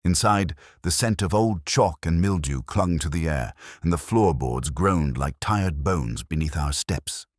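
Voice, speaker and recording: A man narrating a scripted detective story as an audiobook, with measured, literary delivery. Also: very deep voice, expressive, dry recording, studio quality.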